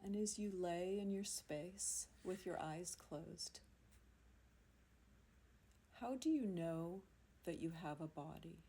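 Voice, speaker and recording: A woman leading a guided yoga nidra meditation in a soothing, unhurried manner, leaving long pauses between phrases. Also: lowish voice, warm tone. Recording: amateur recording with faint background noise.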